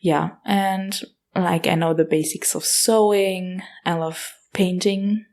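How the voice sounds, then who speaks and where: A young woman from Austria with a German-accented English hosts her own podcast, reading out listener questions and answering them one after another. Her voice sits a little lower than most women's, and she talks in an easygoing way, dotted with ums, likes and quick restarts. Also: steady tone, a little mellow. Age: 20s. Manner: chatty, with frequent fillers and self-corrections. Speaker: podcast host. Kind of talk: casual solo podcast Q&A.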